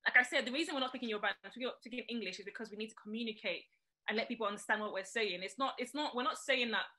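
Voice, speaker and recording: A woman hosting a webinar over a video call, moderating questions in a friendly, brisk way. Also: a little high, sharp tone. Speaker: webinar host.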